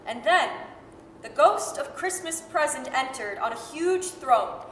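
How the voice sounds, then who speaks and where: A woman playing the measured narrator of a radio-style staged reading. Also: high-pitched, lively intonation, a bit bright. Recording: echoey hall, faint hiss.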